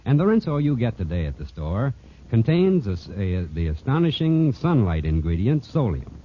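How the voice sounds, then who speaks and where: A man's voice: lowish voice, very animated, normal speed. A man announcing a scripted 1940s radio advertisement in an emphatic, polished selling manner. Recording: muffled, noisy old radio recording.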